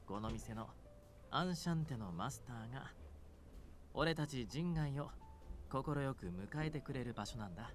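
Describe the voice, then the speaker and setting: A man's voice: medium pitch, animated, a little fast, soft background music, lightly acted. An actor playing a cool, frank non-human man in a visual novel game. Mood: frank, earnest.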